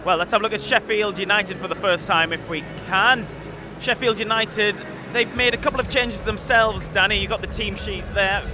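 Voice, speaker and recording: A man hosting a live football broadcast, lively and leading the talk with questions, speaking outdoors at a stadium. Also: high-pitched, normal speed, over music. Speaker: show host. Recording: loud outdoor noise behind.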